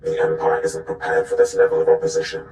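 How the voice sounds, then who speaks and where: An actor playing a secretive, cautious informant in a video game, speaking tersely through a heavy electronic voice scrambler. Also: male voice, extremely high pitch, wildly swooping pitch, fast talker, vocal fry, a little mellow, exaggerated voice. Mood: guarded, urgent. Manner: terse, cryptic. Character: cryptic disguised ally, cautious and secretive informant. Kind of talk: scripted video game acting. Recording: echoing, electronically processed voice.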